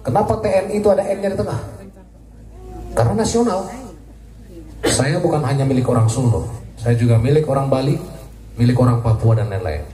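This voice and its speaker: A man, a military officer, giving a joking, lively speech at a community gathering. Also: medium pitch, fairly quick, long pauses. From Indonesia. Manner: jokey and lively, laughs at his own jokes. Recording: echoey, distant, crowd noise.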